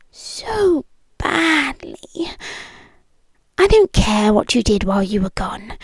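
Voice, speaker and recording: A woman with a British accent playing a possessive, obsessive ex-girlfriend in an ASMR roleplay, breathy and often dropping into whispers, intimate and sweetly menacing, close to the microphone. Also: sits high, a little mellow, dry recording, exaggerated voice. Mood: longing, possessive. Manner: slow, intimate, lingering delivery.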